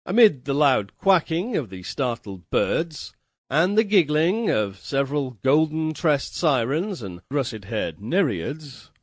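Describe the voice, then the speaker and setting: Slow, dramatic male storyteller, sweeping intonation. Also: mid-range voice, no echo, studio quality. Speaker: audiobook reader. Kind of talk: dramatic scripted narration of a literary fantasy tale.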